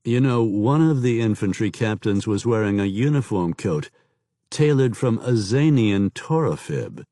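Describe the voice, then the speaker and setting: A man voicing a hard, suspicious male officer who talks in a flat, matter-of-fact way. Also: on the low side, measured pace, dry recording, studio quality. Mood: wary.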